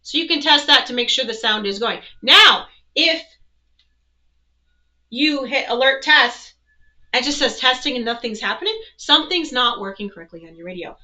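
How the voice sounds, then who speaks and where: A woman's voice: high voice, animated, normal speed, bright, forceful tone, low-quality recording. A woman presenting an instructional product walkthrough, explaining things step by step. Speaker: presenter, weather educator.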